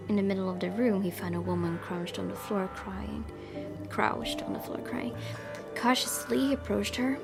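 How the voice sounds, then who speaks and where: A woman's voice: medium pitch, creaky. A young woman streamer reading a horror visual novel aloud, breaking off for chatty asides. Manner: reads narration with chatty asides. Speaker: gaming streamer. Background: loud game music.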